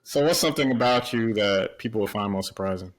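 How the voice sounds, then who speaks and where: A man hosting a casual podcast, relaxed and affirming, often answering his guest with short agreements. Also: lowish voice, fast talker, a little hiss or hum. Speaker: podcast host.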